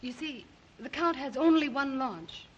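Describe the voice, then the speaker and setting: A dull, slightly noisy vintage soundtrack carries a poised young lady. Her voice is high and bright, with gracious, composed, polite delivery in 1930s drama style. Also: lively intonation, unhurried. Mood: composed, explanatory.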